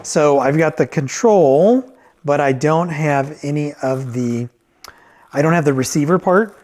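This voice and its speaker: A man's voice: a little high, a little slow, a little mellow. A man giving a casual, off-the-cuff product review as a reviewer and repairer, conversational and thinking aloud as he goes.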